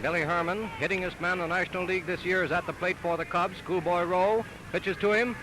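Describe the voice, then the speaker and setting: A man's voice: high-pitched, steady tone, fast. An American man giving emphatic, punchy baseball commentary as a newsreel announcer of the 1930s. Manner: emphatic, declamatory delivery in short punchy phrases. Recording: noisy 1930s optical newsreel soundtrack, crowd roar. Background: stadium crowd noise.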